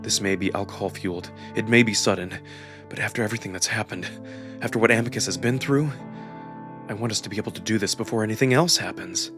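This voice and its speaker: A man performing dramatic first-person narration of a visual novel in an intimate, expressive storytelling manner. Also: fairly low pitch, quick pace, music in the background.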